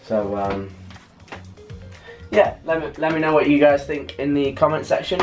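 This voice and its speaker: A man in his twenties with a British accent chats casually and haltingly to camera as a vlogger, trailing off at the ends of phrases. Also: on the high side, lively intonation, soft background music. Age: 20s. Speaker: vlogger unboxing a purchase. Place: small bedroom, later a bathroom. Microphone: camera a couple of metres away.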